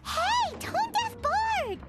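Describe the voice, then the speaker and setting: A woman voicing a tiny, cheeky, excitable fairy girl in a video game, with a squeaky childish voice and exaggerated delivery. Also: very slow, exaggerated voice. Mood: indignant, sassy. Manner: speaks of herself in third person, indignant, exaggerated. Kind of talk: video game cartoon acting.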